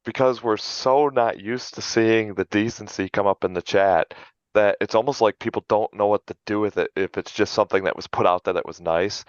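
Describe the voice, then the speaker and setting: Guy hosting a podcast, low resonant voice, kinda muffled dry mic. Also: fairly quick, no room sound. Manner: relaxed, with "uh" and "like". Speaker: podcast host. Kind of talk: casual podcast chat. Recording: dull lo-fi mic.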